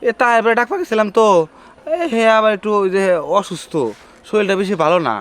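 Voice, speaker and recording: A man's voice: high voice, fast talker, a bit bright, smooth. A man playing a worker in a comic short drama, talking earnestly and making excuses for his workmate. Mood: apologetic excuses. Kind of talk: acted dialogue in a Bangla comic short drama.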